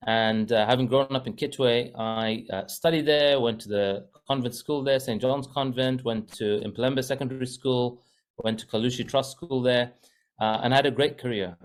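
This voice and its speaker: A man's voice: medium pitch, clear, resonant tone. A man from Zambia, a well-being coach and podcast guest, talking earnestly with many fillers and restarts. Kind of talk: casual podcast interview.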